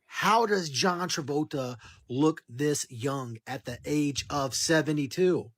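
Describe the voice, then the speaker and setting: Man, husky, lightly raised pitch, casual speculative commentary, puzzled and questioning. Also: slow pace, dry recording.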